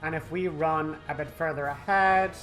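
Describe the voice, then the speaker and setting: A man gives casual walkthrough commentary over game music. He is chatty and wry, in a voice of middling pitch for his range. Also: steady tone, moderate pace, fairly bright tone. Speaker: gaming walkthrough host.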